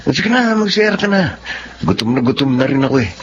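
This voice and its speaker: A man's voice: mid-range voice, sing-song, fairly quick, close to an everyday voice. A man acting an insistent swindler, muffled audio. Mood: coaxing. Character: smooth-talking swindler, attentive fiancé, domineering older brother. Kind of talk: Tagalog radio drama acting. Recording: dull lo-fi audio, clear noise.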